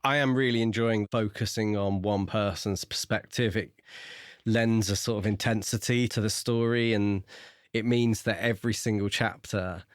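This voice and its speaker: A man's voice: on the low side, steady tone, normal speed, no room sound, studio quality. A man co-hosting a podcast, talking casually about a book. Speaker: podcast co-host discussing a novel. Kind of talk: casual book-club chat.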